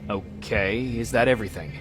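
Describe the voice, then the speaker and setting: An actor playing a dutiful resistance soldier in a video game, speaking briefly and directly. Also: male voice, on the low side, moderate pace, over music, lightly acted. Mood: determined.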